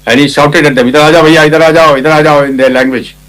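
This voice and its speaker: An older Indian man, a retired army officer, recounting a battle in conversational detail, with a loud commanding voice, acting out quotes and often clearing his throat. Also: on the high side, fairly even intonation, racing, low-quality recording. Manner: loud commanding voice, vivid retelling with acted quotes. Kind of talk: conversational war storytelling.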